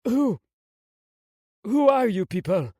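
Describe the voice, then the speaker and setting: A man's voice: high-pitched, animated, slow pace, a little mellow, no echo, studio quality, voice pushed up. A man voicing a proud, defiant young prince in an audiobook, with intense, emphatic delivery. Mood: wary, demanding.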